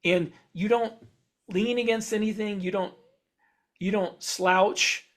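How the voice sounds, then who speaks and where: A man's voice: high voice, fairly even intonation, slow, fairly bright tone. A man co-hosting a podcast as an author, explaining things in casual shop talk with wandering, self-correcting sentences. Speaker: podcast host and science fiction author.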